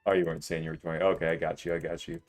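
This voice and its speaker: Deep voiced guy in his 20s, chill jokey streamer talk, low voice, bit of game music under it. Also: level tone, very fast. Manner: laid-back, joking, rambling. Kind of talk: casual live-stream chat while gaming.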